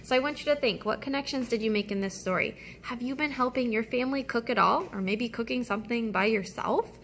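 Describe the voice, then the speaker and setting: A woman reading a picture book aloud as a teacher, with simple, deliberate phrasing and asides to young listeners. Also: on the high side, a bit bright, a little hiss or hum.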